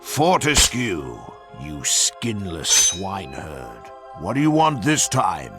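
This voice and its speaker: A man's voice: low-pitched, wildly swooping pitch, takes it slowly, over music, heavily acted. A man voicing a haughty, scornful warrior in a game cutscene, pompous and contemptuous. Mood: scornful, grudging. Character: haughty, scornful, grudging old-time warrior hero. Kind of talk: animated game cutscene acting.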